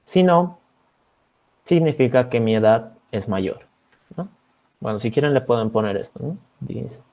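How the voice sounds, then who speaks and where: A man's voice: mid-range voice, measured pace, pauses often. A man from Bolivia teaching an online programming class as a teaching assistant. He explains code step by step and keeps checking in with his students. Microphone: video call. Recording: slightly dull video-call audio.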